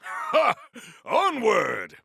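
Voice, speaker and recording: Game warrior-poet: battle-eager, lofty formal diction, gravelly edge, very dry close sound. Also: male voice, sits high, wildly swooping pitch, extremely slow, pushed and bright, dry recording, full character voice. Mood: triumphant battle cry. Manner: grandiose, formal, theatrical diction. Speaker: video game voice actor. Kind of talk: scripted game character lines.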